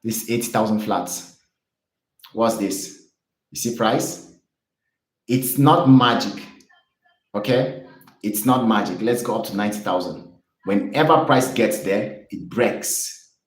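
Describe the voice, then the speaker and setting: A man's voice: fairly low pitch, slow pace, long pauses, echoey room, poor audio. A young man from Cameroon streaming live, chatting with viewers and explaining charts in an upbeat, repetitive way. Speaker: trading streamer.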